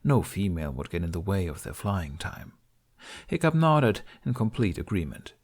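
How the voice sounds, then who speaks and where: A male audiobook narrator with a fairly low voice. He reads scripted fantasy fiction as a storyteller. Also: very animated, normal speed, darkish tone.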